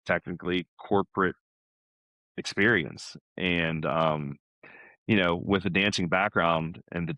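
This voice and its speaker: Casting: American man, middle-aged, low register, loose chatty storyteller, dry sound. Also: fairly bright tone, dry recording. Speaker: podcast guest, salesman. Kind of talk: casual chat.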